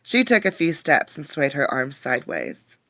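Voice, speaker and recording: A woman reading a picture book aloud as a teacher, expressively, pausing to put questions to young listeners. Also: very deep voice, no echo. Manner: expressive storytelling, addressing young listeners directly. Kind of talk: interactive children's read-aloud with questions.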